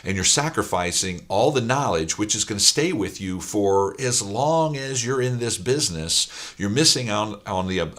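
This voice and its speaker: A middle-aged man, hoarse and raspy from a weekend of speaking, talking straight to camera as a business coach with emphatic, filler-heavy delivery. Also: low voice, fairly bright tone. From Ohio, USA. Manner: emphatic, repeats points, many fillers. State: hoarse after long speaking. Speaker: auto repair shop business coach. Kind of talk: direct-to-camera talk.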